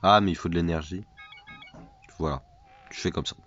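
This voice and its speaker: Deep-voiced man with a cold. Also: steady tone, normal speed, fairly bright tone, muffled recording, soft background music. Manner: chatty, self-mocking, repeats phrases. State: has a cold. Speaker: gaming YouTuber. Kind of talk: casual let's play commentary.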